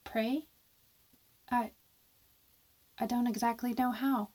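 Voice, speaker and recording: A woman's voice: on the high side, fairly even intonation, takes it slowly, a little mellow. A woman playing a shaken, doubtful young woman in a radio horror drama, muted, reluctant and hesitant.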